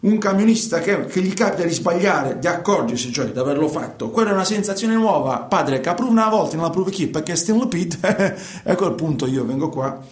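An actor playing a troubled middle-aged truck driver, telling his story haltingly in a radio play. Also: a little high, expressive, echoey room, natural voice. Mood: agitated, intense. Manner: hesitant, halting, repetitive, colloquial. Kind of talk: theatrical monologue in a radio play.

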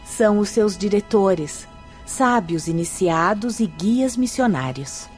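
A woman playing a serene, grave spirit instructor in a radio drama, explaining in a measured, solemn way. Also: mid-range voice, lively intonation, faint music behind. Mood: solemn, reassuring.

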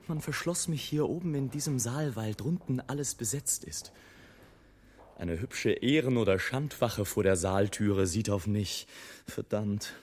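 Casting: irascible captive airship adventurer, lowish voice, sardonic and theatrical, radio-play monologue. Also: male voice, measured pace, a little mellow, slightly rough. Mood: indignant, wry. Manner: sardonic, declamatory, bitterly ironic.

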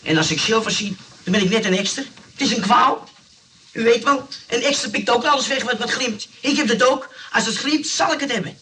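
Hapless burglar, Netherlands Dutch: bright high voice, polite wheedling, comic radio drama. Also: male voice, brisk. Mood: explaining. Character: pleading, chatty, self-pitying burglar and plumber. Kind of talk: comic radio play acting. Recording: distant, noisy old radio recording.

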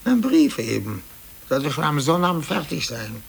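A man's voice: on the high side, sing-song, moderate pace, gravelly, husky, exaggerated voice. An actor playing a grumbling, aggrieved older male office clerk with a sarcastic manner in a 1950s German radio play. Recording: hissy old cassette copy of radio broadcast.

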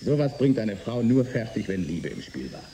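A middle-aged inventor, embittered and resigned, in a science fiction radio drama. His low, very mellow voice moves through a wide range as he needles with deliberate, sardonic wit, over faint noise. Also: big pitch swings. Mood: needling.

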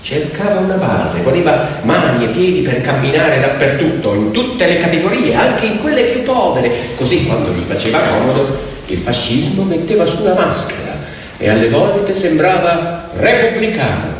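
A man's voice: on the high side, sing-song, moderate pace, a little hiss or hum. A man performing theatrical storytelling, with dramatic, emphatic delivery in short phrases. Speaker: stage storyteller and actor. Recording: very echoey hall, distant mic, faint music. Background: faint piano music.

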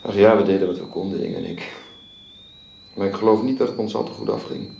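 A man's voice: low voice, steady tone, brisk, gravelly, mellow, muffled recording. An actor playing a courteous middle-aged father and employer in a Dutch radio drama, speaking formally, hesitantly and persuasively. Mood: musing, intimate. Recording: roomy, hissy old radio recording.

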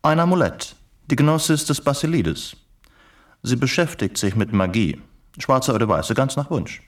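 A man's voice: medium pitch, expressive, normal speed, natural voice. A man playing an eccentric, erudite English reporter and secret agent in a German radio play, with an urbane, lecturing, sardonic manner. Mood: learned relish. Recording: slightly distant radio play recording.

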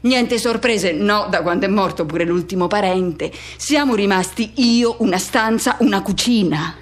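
A woman's voice: mid-range voice, a little slow, close to an everyday voice. A woman playing a restless, solitary middle-aged man in a radio drama monologue, speaking colloquially in short broken phrases as if questioning himself. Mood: brooding.

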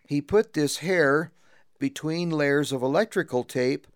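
A man giving an interview account in English with deliberate phrasing. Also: on the high side, no echo, studio quality.

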